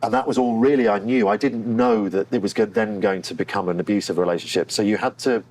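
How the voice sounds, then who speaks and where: A man speaking as an actor in a candid interview, thoughtful and often pausing to find his words. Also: fairly low pitch, steady tone, a little mellow. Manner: thoughtful and halting, searching for words. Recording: clear background room noise.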